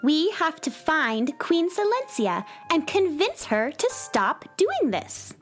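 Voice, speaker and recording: A plucky, earnest young space cowgirl acted by a woman in a children's radio play. Her very high voice moves expressively, kind and polite, with music playing behind. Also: big pitch swings, takes it slowly, exaggerated voice. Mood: earnest, determined. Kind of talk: children's audio drama acting.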